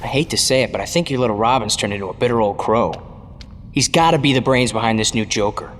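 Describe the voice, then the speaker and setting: A brash, earnest young crime fighter played by a man in an audio drama. The mid-pitched voice is direct and streetwise, heard with long reverb over a noisy ambience. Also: quick pace, a bit bright, lightly acted. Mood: urgent, regretful.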